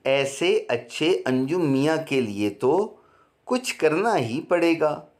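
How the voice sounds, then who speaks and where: A man's voice: fairly high pitch, lively intonation, slow pace. A man voicing a kind female water fairy, an earnest and caring friend, in a children's story.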